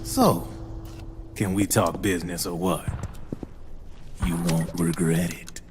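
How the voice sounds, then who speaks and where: A smooth, wry arms dealer from a video game, his voice low and easygoing. He talks like a streetwise salesman, pushy and full of slang, with loud music underneath. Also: steady tone, slow. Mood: wry, probing. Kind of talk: video game cutscene acting.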